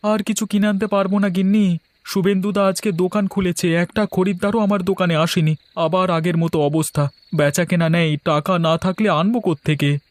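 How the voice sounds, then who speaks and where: A man's voice: high-pitched, fairly even intonation, fairly quick, no room sound, natural voice. A man voicing a frugal, worried young shopkeeper husband in a cartoon, earnest and lecturing in manner. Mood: glum. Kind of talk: Bengali cartoon voice acting.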